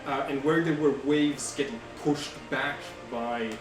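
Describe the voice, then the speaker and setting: Slow, halting male game master, roomy sound. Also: mid-range voice, steady tone. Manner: halting, searching for words. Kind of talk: improvised tabletop game narration. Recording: roomy, distant mic, music behind. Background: music.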